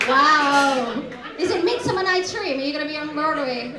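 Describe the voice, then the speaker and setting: Brash high-voiced woman doing crowd work. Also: expressive, heavy background noise. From India. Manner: teasing, brash, quick banter with audience. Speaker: comedy MC and host. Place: small comedy pub venue. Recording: roomy live pub gig. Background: laughing crowd.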